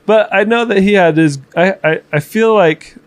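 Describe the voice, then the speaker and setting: A man's voice: high voice, moderate pace, a loud crowd. A man in his thirties, co-hosting a casual home podcast, telling a long story with many fillers and restarts. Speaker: podcast co-host. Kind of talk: casual chat. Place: home room.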